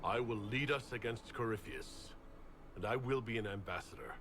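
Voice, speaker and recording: An actor playing a huge, earnest, resolute warrior leader in a fantasy video game. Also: male voice, lowish voice, moderate pace, fairly bright tone, a little hiss or hum. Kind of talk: dramatic video game acting.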